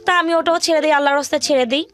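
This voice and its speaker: High-pitched young Bangladeshi woman. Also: fairly even intonation, rapid-fire, a bit bright. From rural Bangladesh. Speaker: guest telling her life story. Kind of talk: personal hardship story in an interview.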